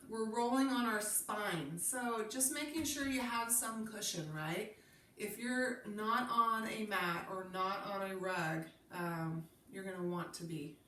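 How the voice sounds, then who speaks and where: A woman with a stuffy head from a cold, calmly leading a Pilates class and cueing each movement while she demonstrates. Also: medium pitch, roomy sound, far from the mic. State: congested from a cold. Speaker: Pilates instructor. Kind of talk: guided exercise class instruction.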